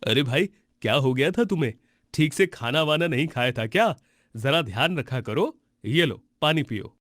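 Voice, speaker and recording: A man voicing a helpful, frank market bystander in a cartoon story, concerned and gently admonishing. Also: fairly high pitch, lively intonation, normal speed, no room sound, clean studio sound. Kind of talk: Hindi cartoon story voice acting.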